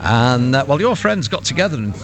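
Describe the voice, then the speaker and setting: A man presenting a live radio show, with hesitant phrasing and drawn-out words. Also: mid-range voice, big pitch swings.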